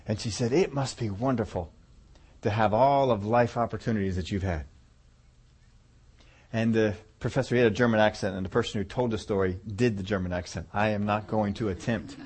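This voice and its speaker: A man preaching through a long story in a relaxed, folksy way. He chuckles often, and his voice sinks low and soft to land the key lines. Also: a little fast. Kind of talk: storytelling sermon.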